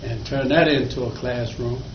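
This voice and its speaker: A man's voice: medium pitch, fairly even intonation, moderate pace. An older man from Virginia, an interviewee telling folksy stories of his childhood with a chuckle. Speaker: oral history interviewee. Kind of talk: slow reminiscing storytelling. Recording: echoey room, dull lo-fi, faint noise.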